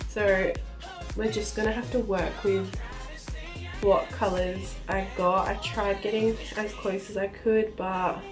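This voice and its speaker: A young woman talks slowly and casually, trailing off mid-sentence as she reviews makeup. Her voice is a little stuffy from a cold, recorded by a camera mic in a small indoor room. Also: medium pitch, flat delivery, over music. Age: young adult. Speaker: beauty vlogger.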